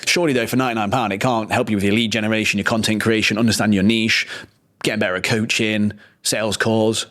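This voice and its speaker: Fast-talking man in his thirties. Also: lowish voice, flat delivery, fairly bright tone. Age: 30s. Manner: quick, chatty, full of fillers and restarts. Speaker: podcast co-host. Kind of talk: casual business podcast banter.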